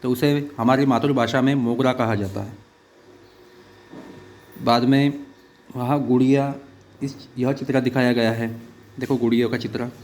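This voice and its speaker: A man teaching a primary school lesson, pausing often with "uh" fillers as he explains each picture. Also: mid-range voice, fairly even intonation, darkish tone. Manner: halting, with many "uh" fillers. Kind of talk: online class lesson.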